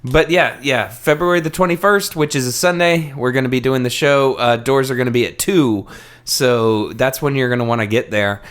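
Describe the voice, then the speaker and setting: A man's voice: mid-range voice, normal speed, a bit bright. Male podcast host, mid-range voice, casual and easygoing. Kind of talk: casual podcast chat and announcements.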